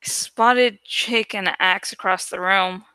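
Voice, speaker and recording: A woman hosting a livestream reading of a novel, speaking in an expressive, deliberate way with casual, dramatic delivery. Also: mid-range voice, clean tone, dry recording. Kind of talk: dramatic audiobook-style reading with casual asides.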